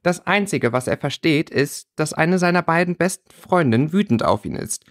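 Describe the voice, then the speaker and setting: A man's voice: on the high side, expressive, normal speed, pitched above the performer's own voice, natural voice. A man voicing a blunt, angry schoolboy in a storybook reading, scolding emphatically. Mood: reproachful.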